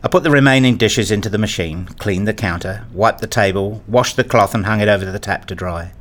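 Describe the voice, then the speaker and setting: Man playing a wistful, low-voiced father narrator. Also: a little fast, fairly bright tone, natural voice. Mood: resigned. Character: wistful, fussy married father and toy enthusiast, narrating. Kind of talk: scripted first-person radio play narration.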